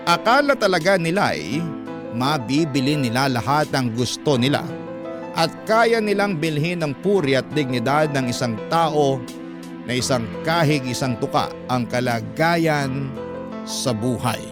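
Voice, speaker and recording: A man's voice: medium pitch, animated, measured pace. A man narrating as a radio host, reading out a personal letter in a dramatic storytelling style. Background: background music.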